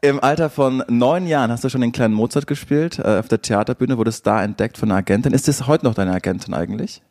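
A man's voice: medium pitch, fast talker, a little mellow. A man hosting a casual podcast chat, introducing and questioning people conversationally. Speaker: podcast host.

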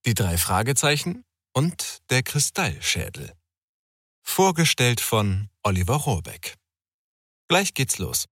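A man's voice: mid-range voice, very animated, slow, a bit bright, dry recording, clean studio sound. A man reading a scripted programme introduction as an announcer.

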